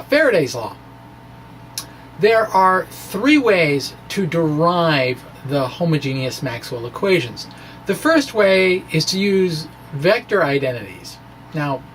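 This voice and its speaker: A man's voice: fairly high pitch, slight background noise. A middle-aged man giving a playful, theatrical reading of a science blog, drifting into informal asides. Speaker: physics blogger reading his own blog. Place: small indoor room with bookshelves. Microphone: microphone on a stand in front of him.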